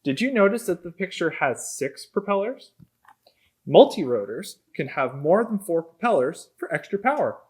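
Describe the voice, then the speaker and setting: A man's voice: sits high, sing-song, moderate pace, husky. A man in his thirties reading a children's book aloud in a workshop. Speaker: robot combat team member. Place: robot workshop, indoors.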